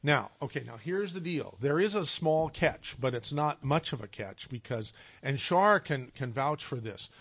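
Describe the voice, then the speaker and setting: A man's voice: mid-range voice, animated, normal speed, vocal fry, hardly any pauses, fairly bright tone, husky, dry recording. A man hosting a call-in radio show in a casual, jokey, rambling way, often restarting his phrases. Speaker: radio talk show host. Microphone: studio microphone. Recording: dull, dry radio studio sound.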